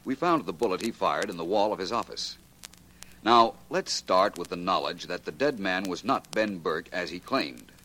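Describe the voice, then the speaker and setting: An actor playing a composed, analytical detective in a 1940s radio drama, with measured, assured delivery. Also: male voice, low-pitched, lively intonation, bright, forceful tone. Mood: assured explaining. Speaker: lead actor in a detective series. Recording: noisy old radio recording with hum.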